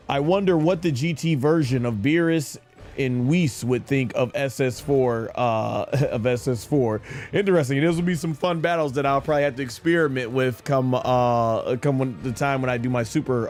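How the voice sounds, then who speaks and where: Guy livestreaming a game, casual excited fan chat, average pitch, music in the back. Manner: enthusiastic, rambling fan chat. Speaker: live streamer. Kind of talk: casual live stream commentary on a game.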